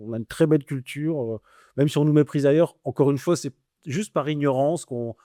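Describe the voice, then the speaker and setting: A man with a northern French ch'ti accent recounts his years abroad in a lively, slangy manner, stumbling through restarts and fillers. His voice is mellow and dark, and it sits dry and close in the room. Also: fairly high pitch, a little fast, no room sound. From northern France. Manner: animated storyteller, slangy, many restarts and fillers. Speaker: guest. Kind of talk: casual interview conversation.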